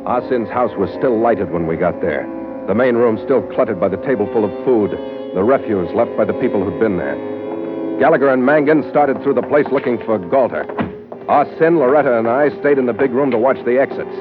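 A man's voice: medium pitch, brisk, lightly acted. An actor playing a tough, terse American sea captain who narrates his own adventure in an old radio drama. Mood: terse, wry. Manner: clipped hardboiled delivery. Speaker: lead actor and first-person narrator. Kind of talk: 1940s-50s radio adventure acting. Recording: tinny old radio recording, music behind.

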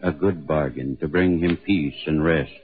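An actor playing a doomed, merciless ghostly sea captain in solemn, incantatory 1940s radio horror style. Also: male voice, extremely low pitch, unhurried. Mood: brooding, ominous. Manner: solemn, incantatory, repetitive phrasing. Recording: muffled, narrow-band 1940s radio recording.

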